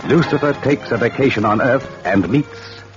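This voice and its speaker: A man's voice: medium pitch, moderate pace, close to an everyday voice. A man announcing the introduction of an old radio drama. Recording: dull old radio recording, loud music. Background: loud music.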